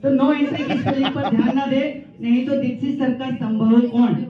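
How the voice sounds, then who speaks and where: Male, very high voice, playful mock lecturer doing comic speech, recorded far off in an echoey room. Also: steady tone, moderate pace, a crowd in the background. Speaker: training participant performing a humorous recap. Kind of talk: stand-up style humorous speech to colleagues. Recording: distant, echoing hall recording, slightly muffled.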